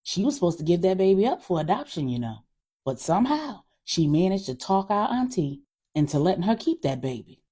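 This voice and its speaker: Woman as older family aunt, gossipy, sympathetic, low husky voice, animated storybook dialogue. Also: brisk. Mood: frank, disapproving.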